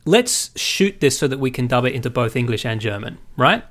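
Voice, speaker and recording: A man co-hosting a podcast in casual movie chat, telling things in an animated, sweary way and checking in with his listener. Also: medium pitch, fairly quick. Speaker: podcast co-host.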